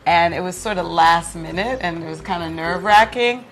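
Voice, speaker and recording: Low-pitched woman teacher in interview. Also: moderate pace, slight background noise. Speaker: drama teacher, interview guest. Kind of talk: conversational interview.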